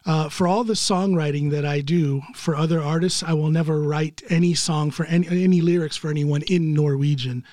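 A man's voice: a little high, steady tone, brisk, few pauses, a little mellow, no echo. A man from the United States hosting a casual interview, talking in a conversational way and agreeing readily. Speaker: podcast host.

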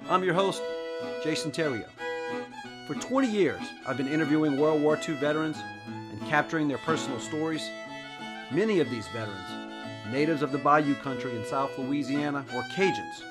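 A man hosting a history podcast, giving a measured, earnest scripted narration. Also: fairly high pitch. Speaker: podcast host. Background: soft guitar music.

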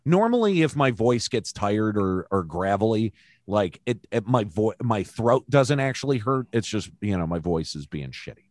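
Low dude w a strained worn-out voice, chill cohost, dry close mic. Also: male voice, very animated, moderate pace, a little mellow, no room sound. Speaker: podcast co-host. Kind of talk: casual chat.

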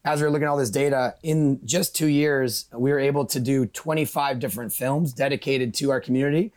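A man's voice: medium pitch, fairly even intonation, brisk, darkish tone. A man speaking as an interview guest, talking informally and enthusiastically about his brand's work. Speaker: guest, brand conservation representative. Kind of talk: casual promotional interview talk.

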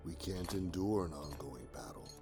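Calculating traitor commander for war audio drama male actor: extremely low, authoritative, measured delivery over clear music. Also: animated. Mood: cold command.

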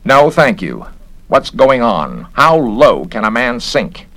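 A man's voice: medium pitch, sing-song, slow, fairly bright tone, voice pushed up, full character voice. A man voicing a fussy, scornful government investigator in a storybook reading, with an agitated manner. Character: Garrett, fussy indignant male government censor and investigator.

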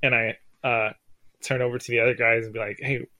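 A man's voice: mid-range voice, flat delivery, a little fast, pushed and bright. A man playing a tabletop game, chatting casually and joking with the table. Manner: joking, playful. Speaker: player.

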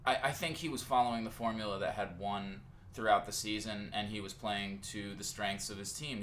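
Bright-voiced man in sports chat. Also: mid-range voice, slight background noise. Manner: hesitant, restarts often, then builds a steady argument. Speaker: podcast co-host. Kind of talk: casual sports talk.

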